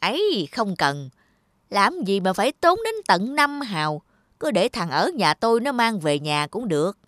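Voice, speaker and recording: A woman voicing a greedy, stingy old male landlord in a cartoon, gruff and bossy. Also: medium pitch, sing-song, a little slow, no echo. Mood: scolding. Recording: studio voice with loud music.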